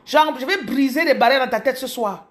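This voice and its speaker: A woman in her thirties from Cameroon with a Cameroonian French accent, telling her story to her followers in an animated, casual way, speaking close to a phone in a small room. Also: sits high, brisk. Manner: animated, repeats words, addresses viewers directly. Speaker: business coach. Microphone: phone camera held close.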